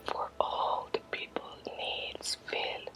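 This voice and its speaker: A woman with a Spanish accent guides an ASMR meditation in a breathy whisper, in short, halting, repeated phrases. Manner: slow, halting short phrases with gentle repetition. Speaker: ASMR creator guiding a Reiki energy exercise.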